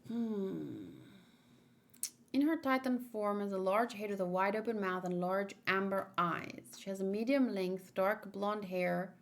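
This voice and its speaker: A woman hosting a casual livestream, talking in a rambling, halting, easily distracted way. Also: medium pitch, steady tone. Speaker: livestream host doing manga read-alongs.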